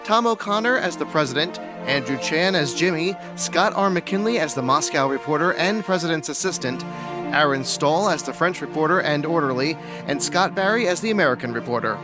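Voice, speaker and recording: A man reads a scripted announcement as a credits announcer. His voice sits fairly high, with music clearly behind it. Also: lively intonation, brisk.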